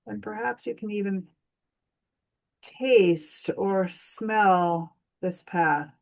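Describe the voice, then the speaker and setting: Very slow, gentle woman guiding meditation. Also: medium pitch, vocal fry. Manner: gentle, soothing, unhurried with long pauses. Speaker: meditation guide. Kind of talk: guided meditation.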